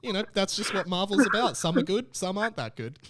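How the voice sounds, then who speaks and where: Higher-pitched Australian man hosting a podcast. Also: big pitch swings, quick pace, crowd noise. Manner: rambling, joking. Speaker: podcast host. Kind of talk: casual comedic podcast chat.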